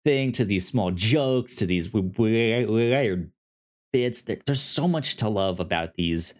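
A man co-hosting a podcast, chatting casually about films in a halting, repetitive, enthusiastic fan's way. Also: fairly low pitch, lively intonation. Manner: halting, repetitive, enthusiastic self-described nerd. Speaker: podcast co-host. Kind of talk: casual film discussion chat.

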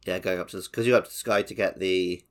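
A man's voice: deep voice, fairly even intonation, fast talker. A man co-hosting a podcast, chatting casually about video games. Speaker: podcast co-host. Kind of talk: casual two-man video game discussion.

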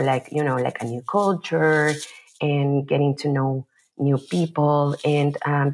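A woman's voice: low-pitched, creaky, a little mellow, low-quality recording. A woman from Mexico City with a Mexican accent, a native Spanish speaker, talking casually and reflectively as an interview guest, thinking aloud as she goes. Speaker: podcast guest, visual artist.

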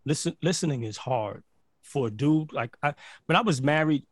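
A man's voice: on the high side, moderate pace, no room sound, clean studio sound. A man from Barbados, a podcast guest, telling stories in casual chat with lots of restarts and "like". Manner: animated storyteller, repeats words and says "like" often.

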